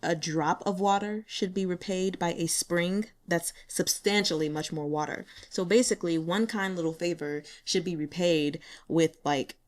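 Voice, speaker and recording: A woman's voice: lowish voice, moderate pace, hardly any pauses. Chatty, giggly woman reacting to a novel. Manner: chatty and animated, laughs often, acts out her own reactions; carefully spells out a Mandarin phrase syllable by syllable. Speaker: audiobook reader sharing chapter notes. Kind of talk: casual chat.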